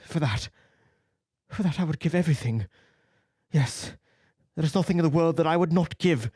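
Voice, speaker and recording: A man's voice: fairly high pitch, moderate pace, warm tone, husky, dry recording, studio quality, pitched above the performer's own voice. A man reading an audiobook, voicing a vain, anguished young man who pleads and rises to emotional outbursts.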